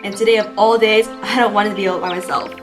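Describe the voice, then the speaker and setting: A woman's voice: mid-range voice, fairly even intonation, fast, over music, natural voice. A woman playing a timid young friend in an audio drama, earnest and anxious in manner. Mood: worried. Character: timid young friend afraid of getting lost.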